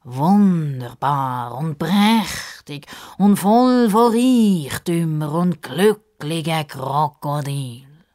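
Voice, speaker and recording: Woman putting on a pompous, slow-talking male crocodile. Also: deep voice, expressive, darkish tone, heavily acted. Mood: grandly self-important. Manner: pompous, drawn-out, over-polite formal speech.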